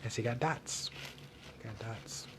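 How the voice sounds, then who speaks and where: A man reading a storybook aloud for children in simple, deliberate phrases. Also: on the low side, noisy background.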